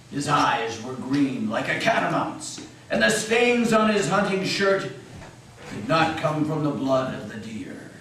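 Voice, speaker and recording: A man narrating a story in a theatrical, deliberate dramatic reading. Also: high-pitched, a bit bright. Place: meeting house. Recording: echoey hall, distant mic, faint noise.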